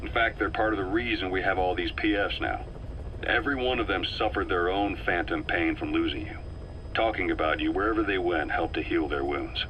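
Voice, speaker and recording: A man playing a hardened, wry mercenary officer giving a deliberate, emphatic briefing in a video game. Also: deep voice, a bit bright, slight background noise, low-quality recording. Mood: grave, pointed.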